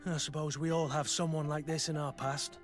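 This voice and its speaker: Man acting a weary, higher-voiced veteran soldier. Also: level tone, brisk, faint music behind, close to an everyday voice. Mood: warm, reflective. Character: weary, loyal veteran mercenary soldier, plain-spoken and resolute. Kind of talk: video game dialogue acting.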